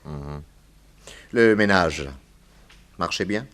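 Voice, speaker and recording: Low voiced polite private eye, measured and deferential, radio detective play. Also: male voice, fairly bright tone, heavily acted. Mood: polite, probing. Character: courteous, shrewd, quietly probing male private detective. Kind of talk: French radio detective drama acting.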